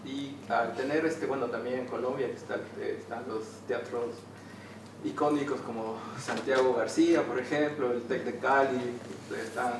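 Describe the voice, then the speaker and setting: A man's voice: mid-range voice, fairly even intonation, normal speed. A man speaking from the audience, putting a hesitant, roundabout question at a public talk. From Ecuador. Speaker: audience member asking a question. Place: indoor theater venue during a public discussion. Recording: very echoey room, distant mic, clear noise.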